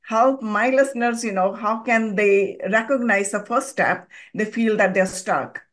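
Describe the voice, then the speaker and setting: A woman hosting a podcast in a mid-range voice. She interviews her guest with long, winding questions that build slowly to the point. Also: mid-range voice, a bit bright. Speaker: podcast host.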